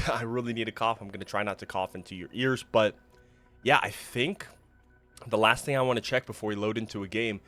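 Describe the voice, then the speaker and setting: A man talking casually through game menus as he thinks aloud, still recovering from an illness and sounding a little rough. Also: on the low side, fairly even intonation, brisk, pushed and bright. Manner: chatty, thinking aloud while browsing menus. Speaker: gaming YouTuber. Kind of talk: casual gameplay commentary.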